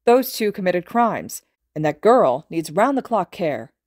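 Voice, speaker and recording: A woman's voice: lowish voice, sing-song, a little slow, a little mellow, no room sound, studio quality. A woman voicing a stern adult male teacher who snaps his lines in a read-aloud story. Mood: irritated. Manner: snappish.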